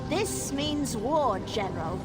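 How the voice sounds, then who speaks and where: A villainous empress regent in a fantasy game. Her high voice is haughty and full of theatrical menace, as if a dark power holds her. Loud music plays over her words. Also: lively intonation, slow pace. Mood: cold, indignant. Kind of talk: fantasy video game acting.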